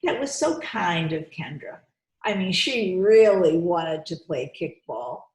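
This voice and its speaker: A woman reading a storybook aloud to young children, expressive and coaxing, stopping to put questions to her listeners. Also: low voice. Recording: roomy, echoey sound.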